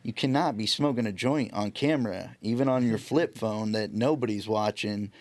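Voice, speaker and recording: A man's voice: fairly low pitch, moderate pace, a little mellow, dry recording. A young man chatting casually and using slang as one of a podcast panel. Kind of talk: casual group chat, slangy.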